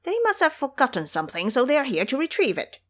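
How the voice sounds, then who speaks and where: A woman's voice: sits high, wildly swooping pitch, quick pace, a bit bright, pitched above the performer's own voice, heavily acted. A woman voicing an alarmed little imp servant reporting anxiously to a monster master in an audio drama. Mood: hurried, guessing.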